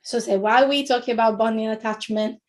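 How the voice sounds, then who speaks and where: Webinar presenter: woman, middle-aged, Italian accent, slightly high voice, informal teaching delivery with frequent rephrasing. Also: fairly even intonation, fast. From Italy. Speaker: webinar presenter, early years educator. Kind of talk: informal educational webinar talk.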